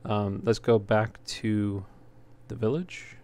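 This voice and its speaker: A man giving casual gameplay commentary in a halting way, as if thinking aloud while he plays. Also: fairly low pitch, fairly even intonation, a little mellow. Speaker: let's player, host. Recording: clear background noise.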